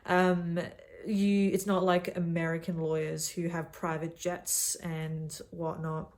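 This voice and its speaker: A woman's voice: fairly low pitch, flat delivery, takes it slowly, darkish tone. A young Australian woman in her twenties talking casually to camera from a cabin, brash and self-mocking. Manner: brash and self-mocking, calls the viewer sweetheart. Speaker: vlogger.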